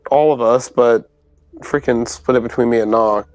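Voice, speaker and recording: A man's voice: mid-range voice, steady tone, normal speed, darkish tone, dry recording. A man playing a tabletop game, chatting casually in an informal, hesitant way. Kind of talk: casual roleplay chat.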